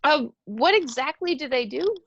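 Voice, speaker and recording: Dull mic, girl playing nervous excitable teen boy hero, high and really animated, movie script read. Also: normal speed, no echo. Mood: earnest, curious. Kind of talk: amateur table read of a film script. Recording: dull, dry home microphone.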